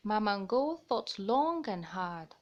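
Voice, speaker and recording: A woman hosting a children's storybook read-aloud, explaining things simply and deliberately for young listeners. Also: medium pitch, big pitch swings, dull audio.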